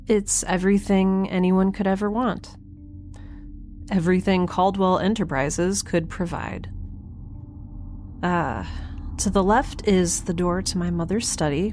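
A woman as halting, low-voiced young space scientist. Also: a little mellow, natural voice. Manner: halting, self-interrupting, conversational. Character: nervous, wry young woman scientist exploring a space station. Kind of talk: audio drama, spoken field log.

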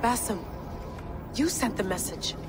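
A woman's voice: mid-range voice, expressive, unhurried, close to an everyday voice. Woman playing a focused, determined explorer. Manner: clipped, focused and practical. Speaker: explorer on a mission. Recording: game cutscene with loud music behind.

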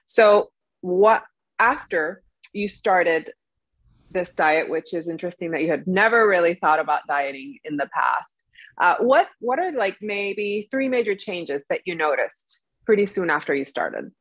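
A woman's voice: on the low side, animated, unhurried, no room sound, poor audio. A young woman co-hosting a podcast, explaining things earnestly in a conversational interview. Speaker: podcast co-host. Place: small indoor room, on a video call.

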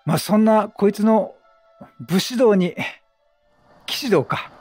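A man's voice: sits high, takes it slowly, a little mellow, husky. A man doing casual let's play commentary, playful and reactive, bantering with the characters in slang. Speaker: gaming streamer. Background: game music.

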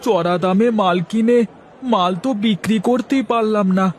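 A man's voice: high voice, moderate pace, natural voice. A man voicing a humble, worried village vegetable seller in a cartoon, plain-spoken and deferential. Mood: anxious. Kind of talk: cartoon character dialogue.

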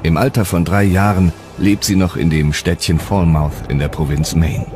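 Deep-voiced male documentary narrator. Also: steady tone, normal speed. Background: music.

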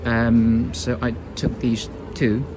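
Male vlogger, slow unhurried talk, loud street noise behind. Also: medium pitch, completely flat delivery. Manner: slow, hesitant, many fillers. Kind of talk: casual walking commentary. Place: outdoors on London streets and in shops.

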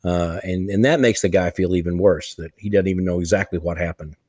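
An older man talks into a headset from a room at home, his voice dry and close with little room sound. He speaks earnestly and emphatically, pressing his point of encouragement home in a relaxed conversational podcast. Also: deep voice, fairly quick, no room sound. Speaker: church revitalization leader, co-host. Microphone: headset on a video call.

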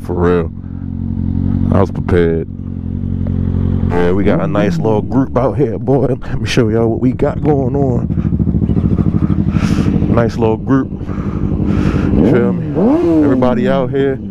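Laid-back man vlogging over loud wind. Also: on the low side, expressive, a little slow, vocal fry, pauses often, dull audio. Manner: laid-back slangy talk to viewers. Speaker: motorcycle vlogger. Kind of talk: casual vlog narration. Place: outdoors at a parking lot meetup. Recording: loud wind and engine noise outdoors.

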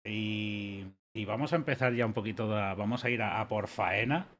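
A man's voice: on the low side, moderate pace, a bit bright, a little hiss or hum. Slangy man hosting a stream. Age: late 30s. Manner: loose, slangy and chummy, with repeated words. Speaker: podcast host. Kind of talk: casual chat. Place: home streaming room.

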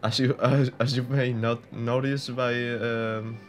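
A man's voice: medium pitch, flat delivery, slow pace, faint music behind. A young man from Spain with a Spanish accent, giving casual, hesitant commentary over gameplay with many fillers and restarts. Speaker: gaming YouTuber.

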